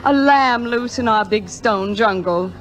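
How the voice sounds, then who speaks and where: An actress playing a worldly, possessive forty-year-old stage star in a 1950s Hollywood radio drama, with a wry, knowing theatrical delivery. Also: female voice, fairly high pitch, measured pace, natural voice, poor audio. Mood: wry, anxious. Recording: vintage radio recording, music behind.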